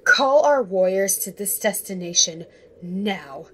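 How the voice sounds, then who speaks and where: A woman playing a commanding, alarmed warrior leader in a fantasy audio drama, urgent and husky. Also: medium pitch, lively intonation, slow pace.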